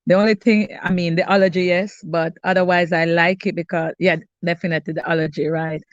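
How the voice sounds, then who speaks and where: A woman's voice: on the low side, level tone, a little fast, no echo, muffled recording. Stuffy-nosed Jamaican woman chatting live. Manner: chatty, greets viewers by name, frequent restarts. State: stuffy, congested. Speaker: cooking channel host. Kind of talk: casual live-stream chat with viewers.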